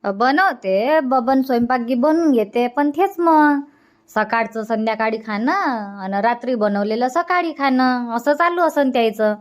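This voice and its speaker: Older village mother for cartoon comedy, played by a woman: high-pitched, mild, plaintive, Varhadi Marathi accent. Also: expressive, a little slow. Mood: plaintive explaining. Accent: Varhadi Marathi from Vidarbha.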